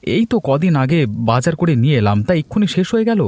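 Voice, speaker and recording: A man's voice: on the high side, sing-song, fast talker, darkish tone, no echo. A man voicing a lazy young husband in a cartoon who grumbles and complains. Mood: grumbling. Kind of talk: cartoon voice acting.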